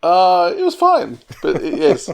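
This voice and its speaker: A man's voice: high-pitched, wildly swooping pitch, measured pace, vocal fry. A man co-hosting a podcast in a chatty, casual way. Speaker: podcast co-host. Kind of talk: casual chat.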